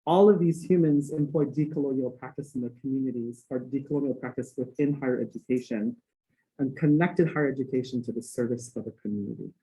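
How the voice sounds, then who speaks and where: A man's voice: mid-range voice, quick pace, mellow, rough recording. A man from Hawaii giving an earnest academic talk as guest lecturer, with rhythmic phrasing and playful asides.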